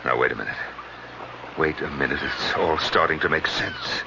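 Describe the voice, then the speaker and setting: An actor playing a jealous, paranoid husband in a radio drama, theatrical, sarcastic and accusing. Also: male voice, very deep voice, normal speed, creaky. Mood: bitter accusation. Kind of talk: 1970s radio drama acting. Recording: muffled, noisy old radio recording.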